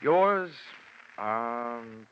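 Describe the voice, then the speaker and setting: An American man acting a wry, wisecracking insurance investigator in 1940s radio style, with deadpan asides. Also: medium pitch, very slow, slight background noise. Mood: dry, wry. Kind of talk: 1940s radio acting, first-person narration. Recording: dull vintage radio recording with music.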